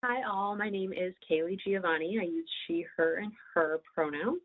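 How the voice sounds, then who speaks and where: A woman's voice: on the low side, normal speed. Midwestern woman on a tinny line. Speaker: child welfare worker. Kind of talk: self-introduction in a webinar.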